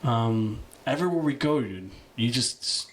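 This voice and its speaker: A man's voice: mid-range voice, animated, normal speed, heavy background noise. A man hosting a casual live stream, upbeat and laughing easily as he reads comments and prompts his guest.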